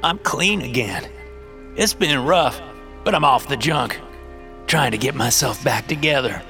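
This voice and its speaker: A man voicing a worn-down homeless middle-aged man with a hoarse, weary, pleading voice. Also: medium pitch, lively intonation, unhurried, bright, forceful tone, soft background music, voice pushed up.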